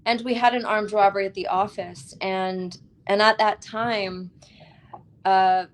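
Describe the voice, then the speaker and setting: A woman in an interview speaks as an author about her own work and outlook. Her voice sits at a medium pitch. She is earnest and reflective, placing emphasis deliberately and pausing between phrases. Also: steady tone, slight background noise.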